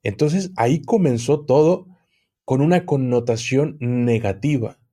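A man, a guest on a podcast, explaining at length in a measured, hesitant way. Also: medium pitch, dark tone. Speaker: guest occult expert. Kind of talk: podcast conversation.

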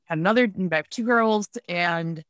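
A woman's voice: lowish voice, normal speed, a bit bright, clean tone, dry recording, studio quality. An American woman in her fifties talking candidly and reflectively about herself as a guest in a conversational interview. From United States, upstate New York. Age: 50s. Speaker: podcast guest, writer and podcaster.

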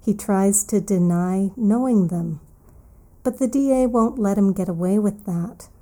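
Slow, dark-voiced woman teaching vocabulary. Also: mid-range voice. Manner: slow, careful explaining, repeats key words. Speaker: English teacher and podcast host. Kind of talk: English vocabulary lesson for learners.

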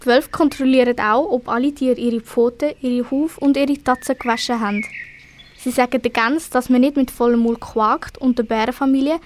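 A woman's voice: high voice, steady tone, normal speed. A woman with a Swiss German accent narrating a scripted children's story for an amateur radio play.

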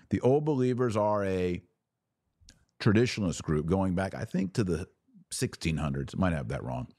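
A man's voice: deep voice, darkish tone, no echo, clean studio sound. A man with an American accent hosting a podcast, giving casual, conversational solo commentary with wry, informal asides. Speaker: podcast host and religious commentator.

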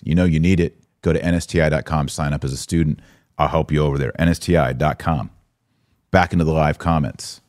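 A man's voice: deep voice, darkish tone, dry recording, clean studio sound. An American man hosting a live podcast, commenting and answering viewers in an emphatic way, repeating phrases for stress. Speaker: podcast host.

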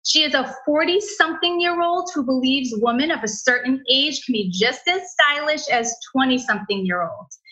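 A woman's voice: high-pitched, sharp tone, highs cut off. A woman hosting a podcast, welcoming her guest in an enthusiastic, talkative casual chat. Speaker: podcast host.

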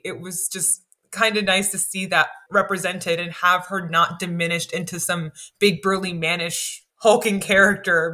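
A woman with a lower voice chatting casually on a podcast, animated and full of "like" fillers.

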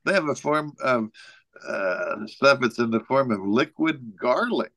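A man co-hosting a casual podcast, reading out trivia in a halting, joking way. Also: mid-range voice, animated, fairly bright tone, no room sound. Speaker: podcast co-host.

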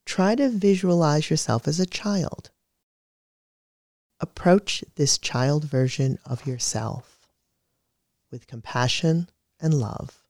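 A narrator with a medium-pitched voice reading a scripted guided meditation in a calm, measured, soothing way. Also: no room sound, clean studio sound.

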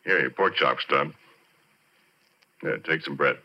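A very low-voiced easygoing oil driller in an old 1940s radio play. He talks in plain, folksy oilfield slang, like a working man spinning an eerie tale. The sound is dull and muffled, an aged broadcast recording. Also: measured pace, exaggerated voice. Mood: easygoing, hearty. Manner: folksy, colloquial. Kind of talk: 1940s radio drama acting.

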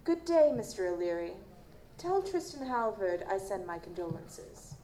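A woman playing a guarded, formal chief medical examiner in an audio drama, measured and dismissive. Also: medium pitch, big pitch swings, natural voice. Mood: firm, dismissive. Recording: roomy, noisy live stage recording.

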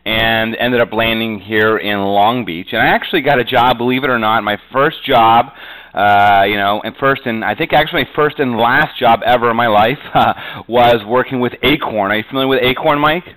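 A man's voice: mid-range voice, normal speed, a bit bright, poor audio. A middle-aged man from New England talking conversationally as an interview guest, rambling and often padding with "you know". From New England, USA. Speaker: podcast guest, real estate agent. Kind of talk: conversational interview, telling his career story.

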